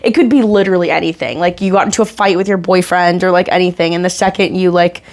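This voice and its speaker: A woman's voice: fairly low pitch, fairly even intonation, fast talker, darkish tone. A woman in her twenties, an American stand-up comedian, hosting a podcast in a chatty, giggly way with lots of filler words. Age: 20s. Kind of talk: casual chat.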